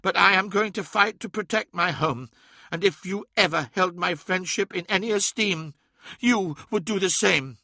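A man's voice: high-pitched, moderate pace, vocal fry, sharp tone, no room sound, clean studio sound, voice pushed up, full character voice. A man voicing a desperate, pleading sorcerer. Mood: grieving. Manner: urgent, pleading, raised voice. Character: anguished male sorcerer, loyal and fierce. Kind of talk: audiobook character voice.

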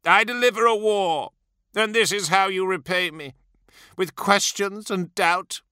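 A man's voice: high-pitched, unhurried, pushed and bright, smooth, no echo, clean studio sound, pitched above the performer's own voice. A man voicing a vain, imperious superhuman warlord in an audiobook, theatrical and wrathful, with spitting, snarling delivery. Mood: anguished reproach. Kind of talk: dramatic audiobook character voice.

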